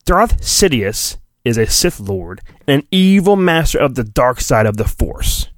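A man's voice: mid-range voice, very animated, no room sound. A man hosting a solo podcast, giving a mock-dramatic reading of a children's storybook with theatrical emphasis. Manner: theatrical, drawn-out emphasis, flippant asides.

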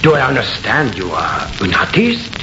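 Fawning French shopkeeper, French accent, excitable coaxing manner, animated pitch, muffled 1930s radio sound. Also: male voice, vocal fry, over music, exaggerated voice. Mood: excited, urging. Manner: coaxing, excitable, halting English. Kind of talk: 1930s radio drama acting. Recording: muffled, echoey, old radio recording.

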